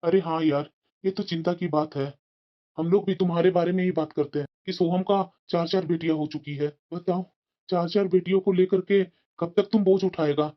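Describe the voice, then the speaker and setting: A man's voice: high voice, steady tone, normal speed, lightly acted. A man voicing a meddling, callous friend who persuades insistently and familiarly in a cartoon moral drama, on a muffled recording. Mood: mock concern. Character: meddling, pushy male friend giving callous advice. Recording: dull, muffled lo-fi sound.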